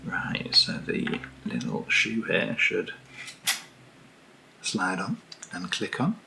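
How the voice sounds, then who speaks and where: Soft, low-voiced British man doing ASMR. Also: sing-song, takes it slowly, slight background noise, poor audio. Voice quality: soft, breathy, near-whisper. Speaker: ASMR video host reviewing camera gear. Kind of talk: soft-spoken ASMR, casual unboxing chat.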